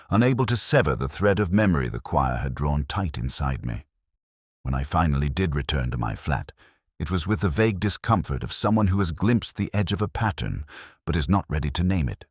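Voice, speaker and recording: Very deep, brooding detective narrator played by a man. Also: no echo, studio quality, heavily acted. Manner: measured, reflective, literary, sombre. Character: world-weary, brooding middle-aged male English detective narrator. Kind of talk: scripted first-person mystery narration.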